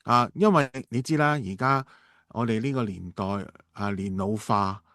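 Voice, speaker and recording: A man's voice: medium pitch, normal speed, fairly bright tone, no room sound, natural voice. A man acting a hesitant, persuasive businessman, dry recording. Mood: halting, persuasive. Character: smooth-talking businessman asking a partner for urgent money. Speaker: radio drama actor. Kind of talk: amateur Cantonese radio drama dialogue.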